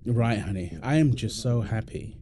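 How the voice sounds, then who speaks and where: A man's voice: mid-range voice, lively intonation, moderate pace, very noisy, lightly acted. A man playing a playful, doting husband in an intimate audio roleplay, teasing and affectionate, full of exclamations. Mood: worried, relieved. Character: playful, doting husband apologizing to his pregnant wife.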